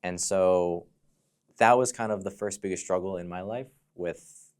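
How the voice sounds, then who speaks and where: A man's voice: low-pitched, unhurried, darkish tone, clear, resonant tone. An American man speaking conversationally as a podcast guest, telling personal stories in a reflective, explanatory way. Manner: reflective, explanatory, often says "you know". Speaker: podcast guest, fire dancer and entrepreneur.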